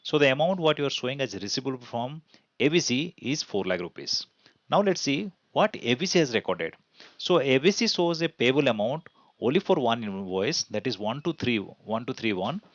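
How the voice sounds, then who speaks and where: A man's voice: mid-range voice, sing-song, moderate pace, no room sound, muffled recording. A man with an Indian accent giving an explanatory accounting tutorial in a didactic way, asking and answering his own questions. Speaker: accounting instructor. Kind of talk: explanatory tutorial over slides.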